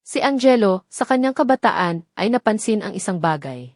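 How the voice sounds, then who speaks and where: A woman's voice: mid-range voice, very animated, normal speed, dry recording, studio quality. A woman with a Filipino accent reading a scripted dramatic story as its narrator.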